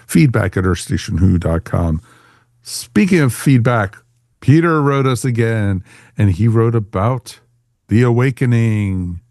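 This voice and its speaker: Low-voiced man hosting a casual podcast. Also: lively intonation, a little slow, a little mellow, no room sound, studio quality. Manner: chatty, informal. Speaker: podcast host.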